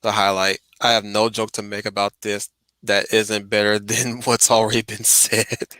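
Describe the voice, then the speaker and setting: A man's voice: on the low side, level tone, normal speed, pushed and bright, dry recording. A man chatting casually on a livestream as he reacts to a video, often repeating words as he finds his way. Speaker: stream host.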